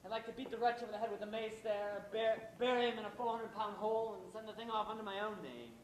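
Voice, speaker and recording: A man's voice: sits high, fast, close to an everyday voice. A man playing a jaded, envious husband and playwright in an amateur stage play, sardonic and theatrically wordy. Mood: envious, scheming. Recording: roomy, echoey stage recording with noise.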